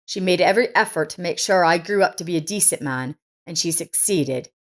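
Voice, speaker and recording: A woman's voice: lowish voice, fairly quick, lightly acted. A woman voicing an earnest, firm grown son in a narrated life story. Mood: firm, hurt. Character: resolute grown son, protective of his mother.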